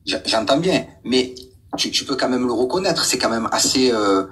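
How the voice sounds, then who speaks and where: A French-born man of Kabyle descent, a guest on a live stream debate, arguing back in a measured, polite way. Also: medium pitch, animated, fairly bright tone, slight background noise. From France, of Algerian Kabyle descent.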